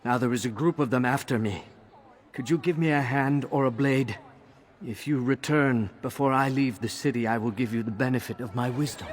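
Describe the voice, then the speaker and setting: Male actor as roguish game character, average pitch, wry, talkative, mock-respectful carouser. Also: background noise, lightly acted. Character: roguish, wry carousing man seeking help. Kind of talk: scripted game cutscene acting.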